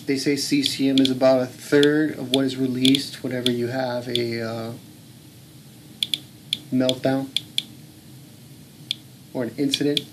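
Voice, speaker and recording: A man's voice: medium pitch, level tone, measured pace, long pauses, noisy background. A man filming at home, talking in a blunt, earnest way and swearing now and then. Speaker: home video blogger. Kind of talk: casual filmed commentary. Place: home room.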